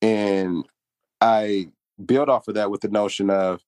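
A man's voice: fairly low pitch, steady tone, measured pace, a little mellow, no echo. A young man talking earnestly and reflectively about pride and humility in a casual podcast chat. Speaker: podcast co-host.

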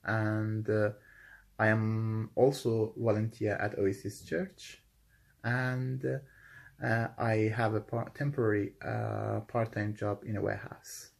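A man from Iran introduces himself plainly, halting with many fillers. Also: lowish voice, flat delivery, vocal fry. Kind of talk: brief self-introduction.

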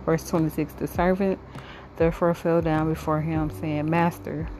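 A woman podcast host reading the Bible aloud in a devotional style, halting in short phrases with occasional stumbles. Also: sits low, level tone, a little mellow, slight background noise, rough recording. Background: music under the voice.

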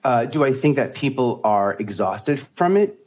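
A middle-aged man, a media advisor and guest on a podcast, talking in a rambling, animated way. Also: medium pitch, moderate pace, slightly rough. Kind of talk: casual interview chat.

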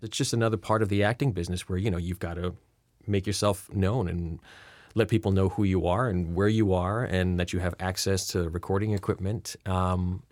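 A man's voice: sits low, steady tone, a little mellow, dry recording, clean studio sound. A middle-aged man from Rhode Island, an actor talking earnestly and thoughtfully as an interview guest, with no regional accent. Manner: earnest and reflective, drawn-out words. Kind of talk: relaxed interview talk.

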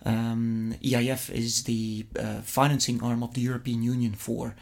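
Male interviewee, middle-aged, Flemish Belgian accent, Dutch native, average pitch, conversational and a little halting. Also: level tone, vocal fry, a little mellow. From Flemish-speaking Belgium. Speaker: interview guest, investment fund executive.

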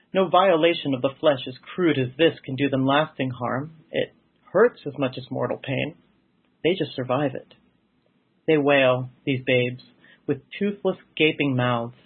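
A phone-like, narrow recording of a man reciting poetry dramatically. His voice sits a little high and is husky, with deliberate, theatrical phrasing. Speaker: poetry reader. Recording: husky, muffled phone-like audio.

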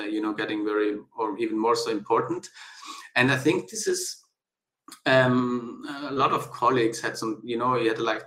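A man's voice: lowish voice, a bit bright. A man from South Tyrol in northern Italy, a guest architect in a casual interview, talking in a thoughtful, hesitant way with many fillers and restarts over a remote call. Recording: echoey room.

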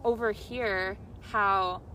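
A woman's voice: mid-range voice, fairly even intonation, drawn-out words, bright, forceful tone, distant mic, poor audio. A chatty young woman in her twenties talks casually, often laughing and leaning on "like". She sounds set back from the mic, outdoors in a city with faint traffic behind her. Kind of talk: casual conversation.

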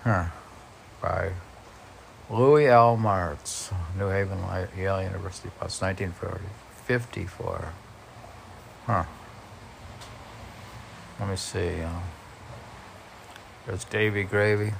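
Male reader, unhurried and gravelly, musing informally aloud, slight background noise. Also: low voice, fairly even intonation, poor audio. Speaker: podcast host reading and commenting on poems. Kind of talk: informal read-aloud with casual musing commentary.

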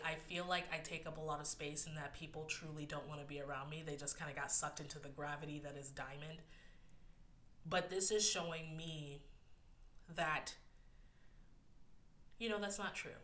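A young woman talks openly on her podcast, slightly low in pitch but bright and forward in tone, a little nasal. She is close to tears, laughing through it with plenty of "like" and "um". The room around her is echoey. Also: steady tone, moderate pace, long pauses. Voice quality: a bit nasal. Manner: candid, emotional, laughs often, fillers like "like" and "um". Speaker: podcast host. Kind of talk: personal solo podcast talk.

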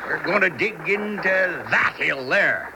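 An actor playing a cocky, scheming frontier homesteader with rustic speech in an old-fashioned Western radio drama. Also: male voice, high-pitched, lively intonation, a little slow, gravelly, heavily acted. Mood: excited. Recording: noisy 1970s radio drama broadcast.

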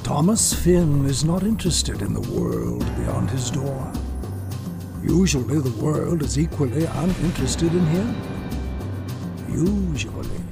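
An actor playing an ominous, knowing host who narrates a macabre audio drama in a measured, sinister manner. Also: male voice, a little high, big pitch swings, vocal fry, exaggerated voice. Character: ominous, knowing older male horror-anthology narrator. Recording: loud music bed under the voice. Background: loud music.

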